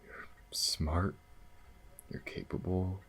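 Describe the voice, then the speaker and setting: Guy doing a soft-spoken alien boyfriend for asmr, super deep voice, slow and hesitant, tired but devoted. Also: fairly even intonation, exaggerated voice. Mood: relieved, tender. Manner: soft, halting, hesitant, sighing delivery. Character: gentle, battle-weary alien man, earnest devoted lover. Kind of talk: intimate ASMR audio roleplay.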